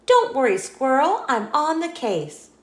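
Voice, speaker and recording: A woman's voice: high voice, very animated, a little slow, echoey room, pitched above the performer's own voice. A woman voicing a confident, helpful male cat secret agent in an upbeat, reassuring way for a children's story.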